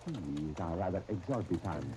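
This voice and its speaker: An actor playing an oily, venal sanitarium proprietor with formal, ingratiating speech in a 1930s radio serial. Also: male voice, low voice, expressive, moderate pace, background noise. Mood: scheming, assured.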